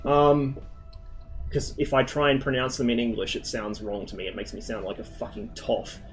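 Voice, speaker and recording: A man in the middle of his vocal range chats loosely with his stream viewers, trailing off into tangent after tangent and swearing freely. He has a mild speech impediment that softens his L sounds and a lingering cough from a recent illness. Faint music plays underneath. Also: medium pitch, flat delivery, normal speed. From Australia. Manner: rambling, swears a lot, jumps between tangents. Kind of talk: casual rambling chat with stream viewers.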